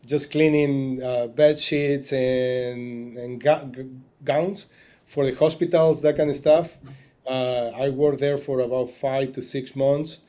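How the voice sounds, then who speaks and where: Mellow-voiced man, halting. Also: mid-range voice. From Argentina. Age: 40s. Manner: halting, with many fillers and restarts. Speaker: podcast guest. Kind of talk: casual interview talk.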